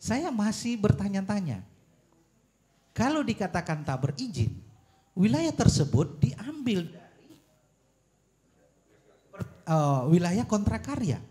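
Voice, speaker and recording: A man making an argument at a public forum, his voice fairly high and swinging widely in pitch. He phrases things deliberately and emphatically, often posing pointed rhetorical questions. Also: very animated, dark tone. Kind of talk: argumentative forum speech on policy.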